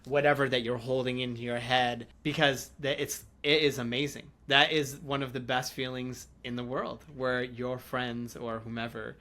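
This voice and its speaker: A man's voice: mid-range voice, flat delivery, moderate pace, few pauses, sharp tone. A man hosting a casual group chat in a chatty, joking way, often breaking into laughter. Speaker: podcast host.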